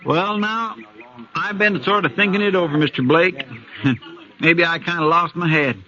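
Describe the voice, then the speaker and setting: A folksy frontier deputy drawls in a bright, slightly high voice with a hesitant, homespun manner. It's a vintage radio western heard through a dull, muffled recording. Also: male voice, a little slow. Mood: sly. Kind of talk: 1950s radio drama acting.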